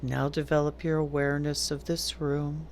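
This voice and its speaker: A woman teaching yoga nidra, giving calm, deliberate guided meditation instructions with long pauses between phrases. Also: low voice, slight background noise.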